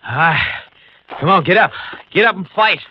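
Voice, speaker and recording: Tough rancher, dull old radio sound. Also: male voice, sits high, expressive, unhurried, background noise. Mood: angry, defiant. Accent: American Western. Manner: blunt, challenging. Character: tough, protective, hot-tempered rancher husband. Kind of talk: 1950s radio western acting.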